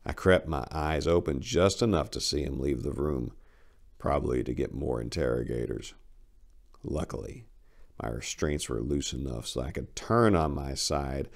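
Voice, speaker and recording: A man's voice: rumbling low, expressive, normal speed, gravelly. A man narrating a short story as a dramatic reading, as its host.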